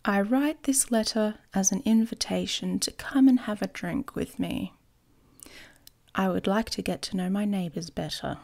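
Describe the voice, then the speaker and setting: Woman playing slow, creaky-voiced smug she-wolf lover. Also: mid-range voice, darkish tone. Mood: cheeky, smug. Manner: teasing, cheeky, often dropping into whisper. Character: smug, playful young female werewolf pack leader and lover. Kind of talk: intimate ASMR romance roleplay.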